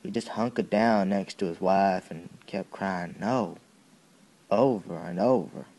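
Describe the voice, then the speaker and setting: A man playing a young Southern soldier in an audio drama, giving a plain-spoken, folksy, halting account full of bitterness and grief. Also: sits low, dark tone, poor audio. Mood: grief and anger. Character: young Southern soldier recounting a childhood atrocity, bitter and grieving. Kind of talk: dramatic first-person monologue, audio drama.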